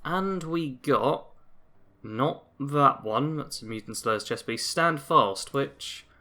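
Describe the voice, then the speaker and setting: A man's voice: mid-range voice, expressive, takes it slowly, bright, forceful tone. A man giving casual, chatty commentary as he plays a game, saying he is getting a cold. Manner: chatty, self-narrating. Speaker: gaming YouTuber. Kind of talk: gameplay commentary.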